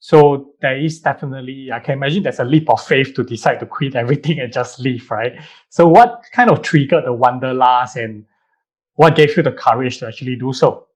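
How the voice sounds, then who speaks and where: A man's voice: medium pitch, brisk. A man hosting a podcast, upbeat and chatty, asking long, rambling questions. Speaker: podcast host. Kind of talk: casual interview chat.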